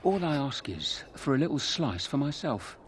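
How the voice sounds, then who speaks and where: An actor playing a sly, chummy street thief in a video game, cheeky and ingratiating. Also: male voice, mid-range voice, big pitch swings, normal speed, slight background noise, lightly acted. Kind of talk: video game dialogue acting.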